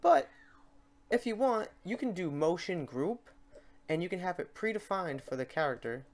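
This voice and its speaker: A man hosting a software tutorial in a casual, unscripted way, talking to himself as he works through the steps. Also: high voice, lively intonation, normal speed. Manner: informal, talks to himself while clicking through steps. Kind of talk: casual unscripted screen-recorded tutorial narration.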